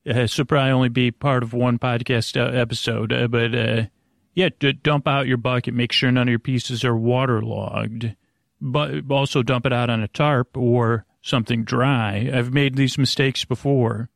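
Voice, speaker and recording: A man hosting a bedtime podcast, rambling gently and haltingly to the listener, stammering and drifting into tangents in a friendly way. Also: mid-range voice, steady tone, gravelly, dry recording, clean studio sound. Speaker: podcast host. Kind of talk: rambling, meandering bedtime monologue addressed to the listener.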